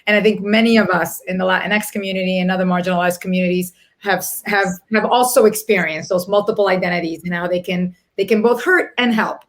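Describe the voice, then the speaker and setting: A middle-aged woman raised in the Dominican Republic speaking on a panel over a video call, earnest and reflective, often pausing and restarting her sentences. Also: on the low side, steady tone. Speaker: panelist, corporate people executive. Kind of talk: panel discussion.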